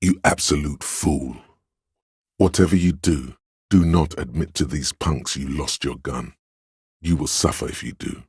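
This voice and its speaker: A domineering male inner voice of authority for a video game. The pitch is extremely deep and the tone smooth and resonant. He speaks with proud, deliberate command, in a close, dry recording. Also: darkish tone, dry recording, full character voice. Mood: indignant. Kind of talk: scripted video game voice acting.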